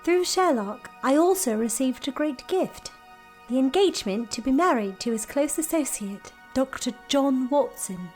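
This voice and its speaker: An actress playing a young Victorian bride-to-be in a radio drama, earnest and emotional, concealing grief and love. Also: female voice, high-pitched, expressive, a little slow, darkish tone, soft background music. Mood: wistful, secretive.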